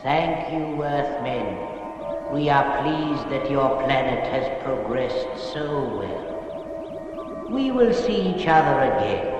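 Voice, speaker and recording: An actor playing a grave, gentle alien elder in a science fiction series, speaking in measured, formal phrases. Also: male voice, mid-range voice, lively intonation. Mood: grateful, solemn. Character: solemn, gentle alien elder of a peaceful race. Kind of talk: 1960s children's science fiction puppet series acting. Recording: dull recording, long cavernous reverb, music behind.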